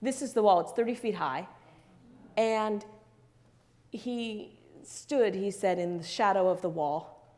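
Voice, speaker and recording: A woman's voice: medium pitch, dark tone. Woman pastor preaching in a reverberant church. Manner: earnest, measured preaching with repeated phrases. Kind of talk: sermon. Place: large church sanctuary.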